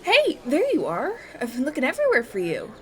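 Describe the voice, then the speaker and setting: A woman playing an excitable, supportive young villager in a fantasy audio roleplay, chatty, teasing and informal. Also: high-pitched, a little fast, exaggerated voice. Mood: chatty, confiding. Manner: gushing, informal, emphatic.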